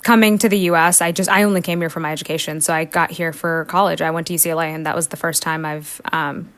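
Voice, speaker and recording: A woman's voice: sits low, steady tone, quick pace, fairly bright tone. A young woman from South India, a podcast guest who talks freely with many fillers and frequent laughs. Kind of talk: casual podcast interview.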